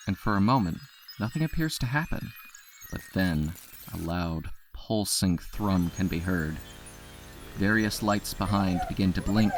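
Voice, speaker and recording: A man narrating an audio drama from a script in a storytelling role. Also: low-pitched.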